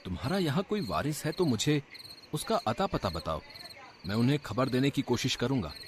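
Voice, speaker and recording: A man playing a kindly, simple gardener in a cartoon story, speaking in a gentle, subdued way. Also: medium pitch, sing-song, normal speed, background noise, lightly acted. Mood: concerned. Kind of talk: acted cartoon story dialogue.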